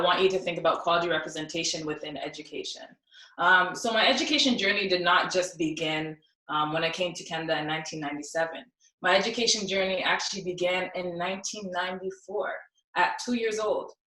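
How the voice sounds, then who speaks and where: Young woman from South Sudan, low-ish voice, earnest speaker, echoey room, distant mic. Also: sharp tone. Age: young adult. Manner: earnest, with frequent ums. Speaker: symposium speaker. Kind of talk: personal talk with recited poem.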